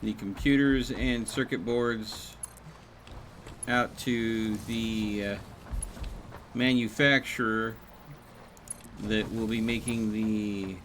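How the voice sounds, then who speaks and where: Man chatting on a rumbly gaming livestream. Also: a bit bright, a little hiss or hum. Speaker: live streamer. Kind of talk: casual gaming livestream chat.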